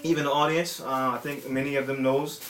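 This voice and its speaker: Guy in his 30s explaining stuff slow with lots of ums, mid pitch, echoey room. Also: level tone, fairly bright tone, a little hiss or hum. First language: English. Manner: halting, many fillers and restarts. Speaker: father and religious teacher. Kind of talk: informal teaching talk with children.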